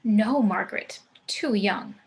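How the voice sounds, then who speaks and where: A woman's voice: mid-range voice, slow, rough recording. A woman playing a composed, genteel, macabre mother in a table read of a comedy script. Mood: serene. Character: composed, genteel macabre matriarch, serenely morbid.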